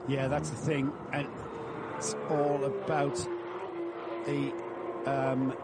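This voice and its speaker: A man's voice: medium pitch, monotone, slow pace, over loud music. A man giving live motorsport commentary in a conversational, descriptive way. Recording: clear engine and vehicle noise behind.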